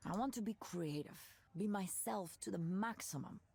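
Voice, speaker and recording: A woman's voice: medium pitch, moderate pace, creaky, a little mellow, breathy edge, no echo. A woman playing a candid, world-weary former tech worker in a visual novel, speaking in a wry, reflective way. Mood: frank, reflective. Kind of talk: naturalistic visual novel voice acting.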